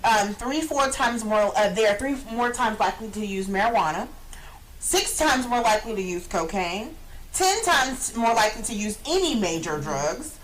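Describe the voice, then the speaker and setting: A woman's voice: fairly high pitch, lively intonation, normal speed, fairly bright tone, a little hiss or hum, poor audio. A middle-aged woman hosting a radio talk show, speaking earnestly and with emphasis in a small studio. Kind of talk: casual talk show chat. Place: small studio lounge, indoors.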